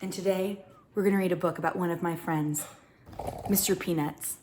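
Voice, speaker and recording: A woman's voice: fairly low pitch, fairly even intonation, normal speed, a little mellow, a little hiss or hum. A woman working as a storyteller reads a picture book aloud expressively, addressing young children. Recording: roomy, echoing indoor sound.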